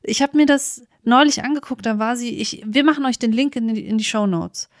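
A woman's voice: fairly high pitch, fairly quick, no echo, clean studio sound. A woman co-hosting a casual podcast, talking informally and thinking aloud in loosely built sentences. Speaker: podcast co-host.

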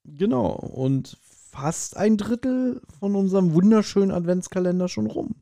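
A man's voice: high voice, measured pace, vocal fry, warm tone, dry recording. A man co-hosting a podcast in casual, colloquial chat, given to joking exaggeration. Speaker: podcast host. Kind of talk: casual chat reviewing an audio drama.

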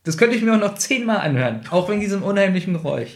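A man's voice: high voice, big pitch swings, racing. A man in a casual review chat, co-hosting a podcast and making points emphatically, often repeating them. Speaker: podcast co-host.

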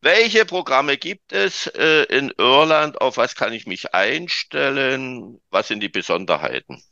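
A man's voice: mid-range voice, big pitch swings, unhurried, creaky, sharp tone, dry recording. A man hosting a podcast who chats and tells anecdotes to add to the conversation. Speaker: podcast host. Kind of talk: informative podcast talk. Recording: dull lo-fi sound.

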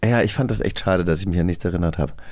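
A man's voice: low-pitched, fast talker, darkish tone. A man hosting a casual interview, asking loose, rambling questions in a joking way. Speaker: podcast host, interviewer.

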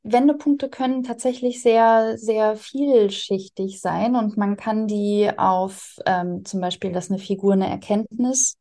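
A woman's voice: mid-range voice, a little slow, few pauses, mellow. A woman, speaking in an interview as an author explaining her writing craft. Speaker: interviewed radio drama author.

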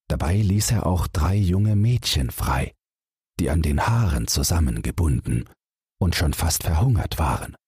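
A man's voice: deep voice, a little mellow, husky, dry recording, clean studio sound. A man narrating a fairy tale audiobook as a scripted bedtime story.

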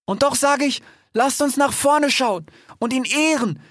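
A man's voice: extremely high pitch, measured pace, a bit bright, no echo, clean studio sound, pitched above the performer's own voice, full character voice. A man voicing a small, earnest, hesitant animal in a storybook, stammering and ending sentences with questioning tags.

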